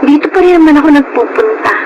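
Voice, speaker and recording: A hurt, humble young woman in a Filipino radio drama. Her voice is high and full of plaintive feeling. A light layer of noise sits behind it. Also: expressive, quick pace, dull audio. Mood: tearful, wounded. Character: poor, hurt, downhearted young woman, a childhood friend.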